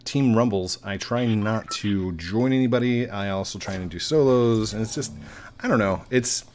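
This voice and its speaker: A man's voice: on the low side, moderate pace, a little mellow, a little hiss or hum. Chatty man in his thirties, headset. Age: 30s. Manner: rambling, self-mocking, chatty. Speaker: gaming YouTuber. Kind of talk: casual gameplay commentary. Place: home room at a desk.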